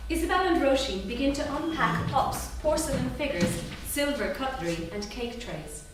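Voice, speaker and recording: A woman's voice: high-pitched, lively intonation, normal speed. A woman reading stage directions as scripted narration at a staged reading. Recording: noisy, very echoey theatre, distant mic.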